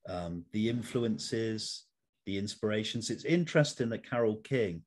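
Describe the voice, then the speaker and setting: Middle aged guy interviewing someone, lowish voice, polite and measured, a bit formal. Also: lively intonation, darkish tone. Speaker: interview host. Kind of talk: conversational interview.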